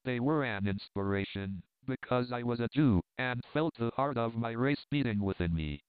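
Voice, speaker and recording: Man reading a dying fervent visionary, quiet, measured, very clear voice, dry sound. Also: medium pitch, expressive, no echo, close to an everyday voice. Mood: solemn. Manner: quiet, measured, holding back his strength. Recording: dry, tinny phone-like sound.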